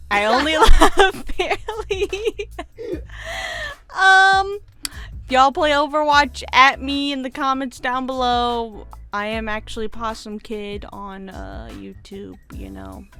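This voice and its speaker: A woman with a sharp, bright voice talks slowly and playfully to viewers. Her delivery is goofy and casual, a little high in pitch, with soft music in the background. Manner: goofy, joking. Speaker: guest commentator. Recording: music behind the voice.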